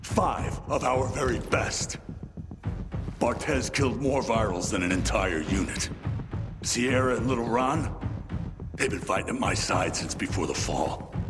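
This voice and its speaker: An actor playing a gruff, sarcastic, battle-worn lieutenant in a video game, profane and commanding. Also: male voice, mid-range voice, lively intonation, a little slow, big-room sound, music in the background, close to an everyday voice. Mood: bitter grief. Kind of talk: video game dramatic acting.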